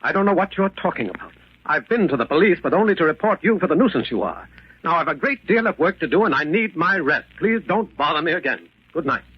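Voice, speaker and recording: A man's voice: fairly high pitch, lively intonation, quick pace, a little hiss or hum, lightly acted. A man playing an indignant, rattled businessman in a 1940s radio mystery, with formal, blustering speech. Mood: exasperated. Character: indignant, rattled well-to-do businessman under accusation. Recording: muffled old 1940s radio recording.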